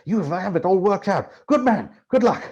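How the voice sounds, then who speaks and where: Man playing an excitable, high-swooping earnest journalist. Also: normal speed, highs cut off. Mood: flustered, flattering. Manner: eager, pleading, exclaiming.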